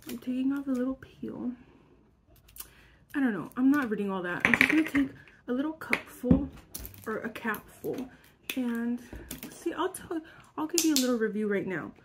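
A sick young woman in her twenties, hoarse and congested, chatting casually to a close camera at home, cursing and coughing now and then. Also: fairly high pitch, animated, normal speed, long pauses, very noisy. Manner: chatty, swearing, coughs between lines. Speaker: vlogger. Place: living room at home. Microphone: camera close to face.

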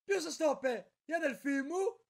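Man doing a high-pitched ranting mad scientist villain. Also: animated, creaky, heavily acted. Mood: scornful, boastful. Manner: ranting, insulting, boastful. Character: hot-tempered boastful mad scientist villain, adult male. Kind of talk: cartoon parody fan dub.

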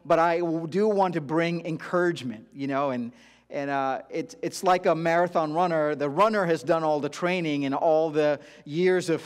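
Middle-aged male guest speaker, from India and raised in Dubai; somewhat higher-pitched voice, informal, halting sermon delivery. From India-born, grew up in Dubai. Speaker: guest preacher at a church. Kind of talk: informal sermon, personal testimony. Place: church auditorium stage, before a congregation.

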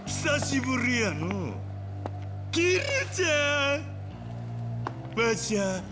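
An actor playing a manic, battle-hungry yakuza boss with a Kansai accent, taunting and gleeful in a video game. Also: male voice, very high voice, very animated, very slow, over music, heavily acted. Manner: drawling, taunting, erupting into shouts. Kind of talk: theatrical video game acting.

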